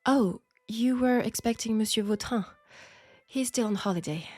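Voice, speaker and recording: A woman's voice: fairly high pitch, no room sound, lightly acted. Woman playing a proud French-accented seamstress, close and dry. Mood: polite, composed. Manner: polite, formal, measured, quietly self-assured. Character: proud, outspoken, formal young seamstress in steampunk Paris. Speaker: audio roleplay performer. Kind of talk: scripted intimate audio roleplay drama.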